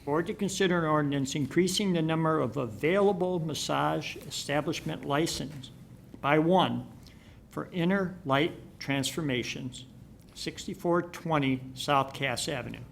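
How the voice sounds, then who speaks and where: A gravelly, somewhat higher-pitched man chairs a village board meeting. He moves through motions and agenda items briskly. A faint low rumble sits under the recording. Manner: brisk procedural delivery.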